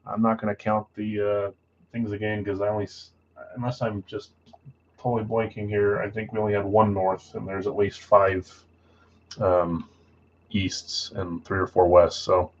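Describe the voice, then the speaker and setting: Low-key man hosting a card break stream. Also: flat delivery, moderate pace, highs cut off. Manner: self-described boring voice, low-key and matter-of-fact. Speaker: host of a live trading card break stream. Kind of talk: casual livestream chat, reading out buyers and spots.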